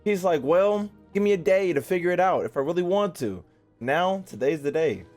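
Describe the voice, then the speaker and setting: Higher-voiced man streaming casually. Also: animated, moderate pace, darkish tone, soft background music. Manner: slangy, conversational. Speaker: streamer reacting to a game. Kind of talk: casual livestream commentary.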